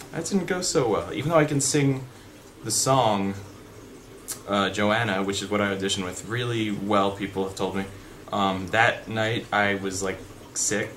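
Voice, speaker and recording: A young man with a deep voice chatting unscripted in a vlog, rambling with fillers and restarts. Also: fairly bright tone. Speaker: vlogger. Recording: background noise.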